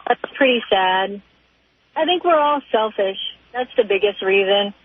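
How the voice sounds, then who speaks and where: A woman's voice: mid-range voice, animated, unhurried, breathy edge. A woman calling in to a radio show by telephone, chatting casually and agreeably. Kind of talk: casual phone-in conversation. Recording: tinny phone line on radio.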